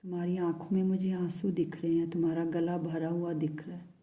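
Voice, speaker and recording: Woman voicing a tender pleading lover man, soft and hushed, whispering, very mellow, flat. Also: on the low side, brisk, natural voice. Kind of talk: channelled love message in first person.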